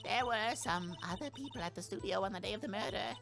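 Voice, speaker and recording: A man's voice: sits high, quick pace, gravelly, over music, pitched above the performer's own voice. A man voicing a nagging, gossipy, indignant old woman witness in a video game, huffy and rambling as they scold. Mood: huffy confiding.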